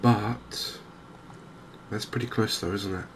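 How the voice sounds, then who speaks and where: A soft-spoken man giving hesitant, measured ASMR-style commentary as host of a gaming video. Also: on the low side. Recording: clear steady background noise.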